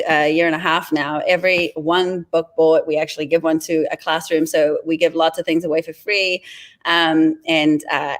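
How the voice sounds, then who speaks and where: A woman from New Zealand with a New Zealand accent, a guest author chatting casually on a video call with many ums and restarts. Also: sits low.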